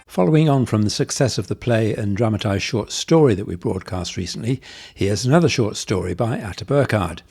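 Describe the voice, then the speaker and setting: A man's voice: on the low side, lively intonation, fairly quick, vocal fry, darkish tone, husky, faint music behind. A man hosting an arts radio programme, introducing items and interviewing a guest.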